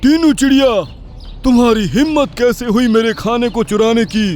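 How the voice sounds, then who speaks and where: A woman voicing a stern, hot-tempered parrot king in a children's cartoon, with a booming, imperious delivery. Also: normal speed, darkish tone, lightly acted. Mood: angry, commanding. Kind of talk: Hindi children's cartoon story acting.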